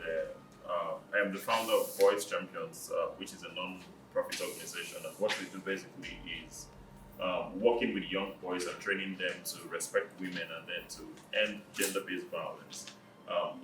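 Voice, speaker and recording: A man from Nigeria, a nonprofit founder, giving a loud formal introduction at an official meeting, with many fillers and pauses. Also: deep voice, background noise. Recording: echoey room from far off.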